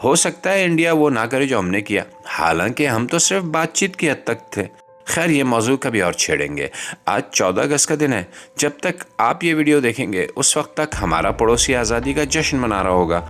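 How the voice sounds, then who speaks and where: A man's voice: medium pitch, very animated, normal speed, fairly bright tone, soft background music. A Pakistani man delivering scripted satirical political commentary in a sardonic, rhetorical manner. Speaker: host and commentator.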